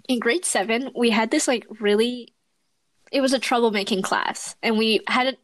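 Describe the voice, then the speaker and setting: A young woman telling animated stories in casual chat, laughing often. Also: on the high side, moderate pace, no room sound.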